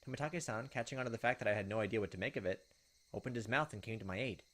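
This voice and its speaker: A man hosts a visual novel playthrough in a fairly low voice. He talks quickly and casually, switching between reading the text aloud and commenting on it. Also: a bit bright. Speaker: gaming video host. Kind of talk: let's play commentary mixed with reading game text aloud.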